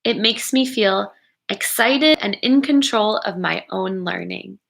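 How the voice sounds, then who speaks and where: A woman reads a children's book aloud at a very unhurried pace. Her voice is bright and crisp-edged, with noticeable creak at times. She is expressive and encouraging, pausing to wonder aloud with her young listeners. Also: mid-range voice. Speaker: educator reading a picture book aloud to children.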